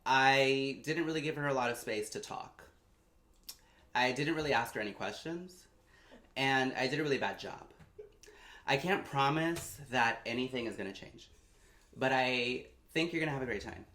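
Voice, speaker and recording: A man hosting a casual podcast, campy and loud, who swears a lot and swings between hushed and shouting. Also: medium pitch, moderate pace, echoey room. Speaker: podcast host. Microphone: studio mic.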